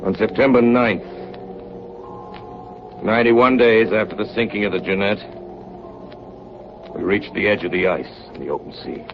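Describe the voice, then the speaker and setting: A weary, loyal Arctic chief officer, played by a man in a 1930s radio drama. The voice is very deep, plain-spoken and earnest. It comes through a slightly muffled vintage broadcast with light background noise. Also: normal speed, pauses often, close to an everyday voice. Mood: sombre recounting. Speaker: radio actor and narrator. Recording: dull, noisy 1938 radio broadcast recording.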